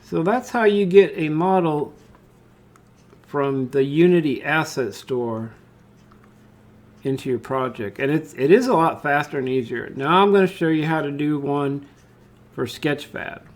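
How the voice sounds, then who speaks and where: A man narrating a step-by-step software tutorial in an informal way, thinking aloud as he demonstrates. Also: a little high, unhurried.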